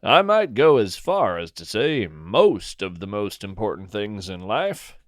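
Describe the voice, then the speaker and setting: A man narrating a scripted first-person story as a podcast host, with a deadpan, whimsical and measured delivery. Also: fairly low pitch, dry recording, studio quality.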